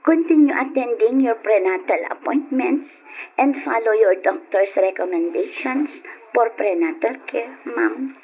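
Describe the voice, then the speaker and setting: Slow, high-voiced female doctor. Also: lively intonation. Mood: professional, friendly. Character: professional, friendly, advising female obstetric doctor. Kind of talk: old-time radio drama acting. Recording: dull, noisy old radio recording.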